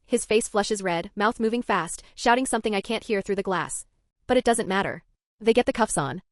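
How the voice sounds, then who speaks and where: A woman narrating a scripted first-person story with tense, dramatic storytelling. Her voice sits at an ordinary middle pitch. The sound is dry and close, with no room echo. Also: animated, brisk.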